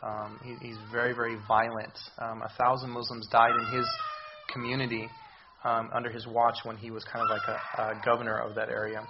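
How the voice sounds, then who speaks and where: Halting, hoarse-voiced man preaching. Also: medium pitch, highs cut off, heavy background noise. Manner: halting, many ums and restarts. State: hoarse, recovering voice. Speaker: visiting missions speaker. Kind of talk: church sermon. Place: church.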